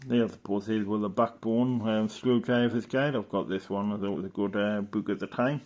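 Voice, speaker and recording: A man's voice: on the low side, steady tone, fairly quick, a little mellow. A man giving casual, rambling show-and-tell commentary as a hobbyist, matter-of-fact and fond of tag questions. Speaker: hobbyist presenter.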